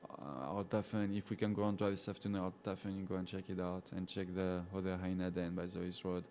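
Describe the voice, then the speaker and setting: A man's voice: low voice, fast talker, a little hiss or hum. A man with a French accent, a safari guide casually chatting with live viewers and reading their comments aloud. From French-speaking. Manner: relaxed and chatty, laughs often, answers viewers by name.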